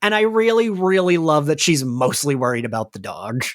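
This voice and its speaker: A man co-hosting a podcast, recapping a show in casual, chatty talk full of excitable tangents. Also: on the high side, moderate pace. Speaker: podcast co-host. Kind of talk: casual recap chat about an audio drama.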